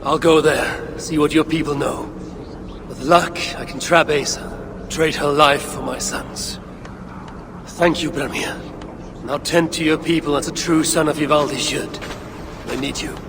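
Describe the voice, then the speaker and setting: An actor playing a stern Norse god and father in a video game, speaking in a measured, formal, resolute way. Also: a little high, steady tone, background noise. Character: stern Norse god father hunting for vengeance, one-eyed. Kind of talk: video game cutscene acting.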